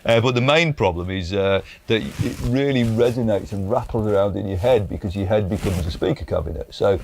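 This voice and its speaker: A British man presents guitar gear in a low-pitched voice. He talks casually and keenly, as if chatting with a mate. There is clear background noise with some clicks. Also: a little fast. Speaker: presenter demonstrating guitar pedals. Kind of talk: casual gear review chat.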